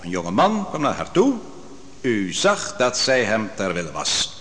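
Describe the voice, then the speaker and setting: A man's voice: on the low side, wildly swooping pitch, unhurried, a bit bright, exaggerated voice. An actor playing a bold, righteous man who cross-examines witnesses in a biblical radio drama, commanding and probing. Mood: stern, accusing. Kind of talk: Dutch radio drama acting. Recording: long hall reverb.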